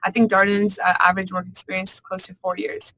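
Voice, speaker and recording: A woman from Georgia answering on a student panel in a lively, casual way. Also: mid-range voice, fast talker, low-quality recording. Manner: lively, laughs easily.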